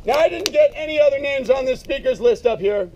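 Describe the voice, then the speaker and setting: A man speaking loudly and emphatically in a casual way, giving a eulogy at a memorial gathering. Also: extremely high pitch, animated, a little fast. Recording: dull lo-fi sound, faint noise.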